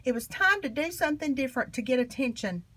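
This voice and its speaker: A woman reading a children's history book aloud in an expressive, emphatic storytelling manner. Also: high voice, fast talker. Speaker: storybook reader.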